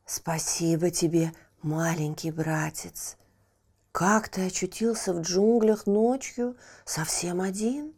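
Woman playing a slow, mellow mother tigress. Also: on the low side. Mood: grateful. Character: large calm grateful mother tigress.

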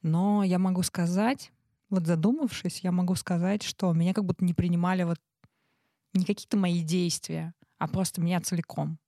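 A woman co-hosting a casual, reflective conversation, thinking aloud in halting phrases. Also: lowish voice, steady tone, dark tone, dry recording, studio quality. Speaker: talk show co-host.

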